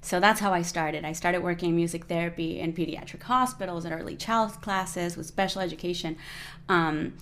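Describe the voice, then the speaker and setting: A woman's voice: fairly low pitch, fairly even intonation, a little fast, fairly bright tone. A Colombian woman in her forties, a native Spanish speaker, chatting in an interview with many fillers like "you know". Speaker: guest musician. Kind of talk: casual interview conversation.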